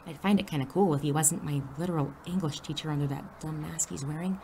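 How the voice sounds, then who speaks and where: A woman's voice: deep voice, fairly even intonation, quick pace, dark tone, slight background noise. A woman playing a wary, sarcastic teenage schoolgirl pulled into superhero business, blunt and skeptical, in an audio drama. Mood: wry narrating. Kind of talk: audio drama acting with first-person narration.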